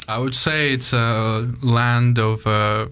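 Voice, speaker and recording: A young man from Estonia, a native Russian speaker, talks casually as a podcast guest. His voice sits at a middling pitch for a man, and he rambles loosely, scattering "like" through his sentences. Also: fairly even intonation, slow, a bit bright, clear, resonant tone. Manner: loose and chatty, leaning on "like" fillers. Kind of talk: casual conversation.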